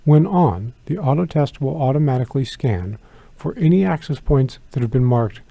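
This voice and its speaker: A man with a mid-pitched, gravelly voice narrates a product demonstration step by step. His tone is mellow and instructional, and the delivery is measured and methodical. The sound is close and dry. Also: lively intonation, dry recording. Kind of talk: scripted product tutorial narration.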